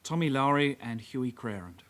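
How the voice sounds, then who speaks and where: Junior male detective, radio drama: dutiful, polite, a touch hesitant, medium pitch. Male actor. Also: animated, moderate pace, a bit bright, natural voice. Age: young adult. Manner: courteous, hesitant, matter-of-fact. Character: polite, earnest young detective constable, sidekick. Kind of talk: naturalistic radio drama acting.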